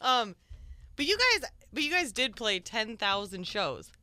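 A woman's voice: a little high, big pitch swings, unhurried, bright, forceful tone, no room sound. A young woman hosting a casual interview, playful and teasing with frequent giggles. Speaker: host.

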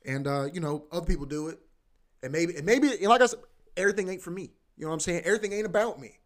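A man's voice: high-pitched, very fast. Rambling, profane young man. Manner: loose and profane, heavy filler like "bro" and "you know what I mean"; repeats himself, often restarts. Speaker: podcast host. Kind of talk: casual rambling monologue.

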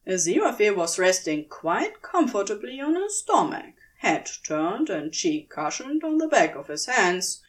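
A woman narrating scripted fiction as a storyteller, in audiobook style. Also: medium pitch.

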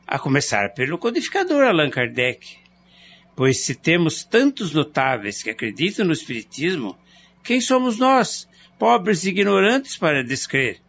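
Male actor as smug well-off husband, radio play, animated and mocking, a bit muffled. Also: fairly high pitch, a little hiss or hum, exaggerated voice. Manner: lecturing, sarcastic, animated. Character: wealthy husband Noronha, middle-aged, argumentative and lecturing.

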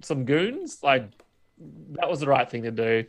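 A man co-hosting a casual film discussion, rambling along in an animated, slangy way. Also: medium pitch, unhurried. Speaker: podcast co-host.

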